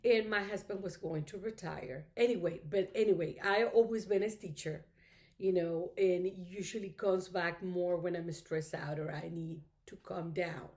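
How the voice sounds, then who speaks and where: A middle-aged woman with a somewhat low voice, whose first language is Spanish. She talks casually to camera, rambling and thankful, leaning on "you know" as she goes. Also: steady tone, moderate pace, a little mellow. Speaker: craft vlogger.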